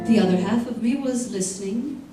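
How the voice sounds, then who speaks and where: A woman's voice: a little high, over loud music, close to an everyday voice. Woman acting cold, scheming woman in echoing hall. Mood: cool confessional. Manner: measured, insinuating, theatrical. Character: cold, scheming, manipulative woman in love, narrating her crime. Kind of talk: old-time radio drama acting on stage. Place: theater stage before a live audience. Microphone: stage mics, recorded by far camera. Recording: echoey stage hall, far mic, faint noise.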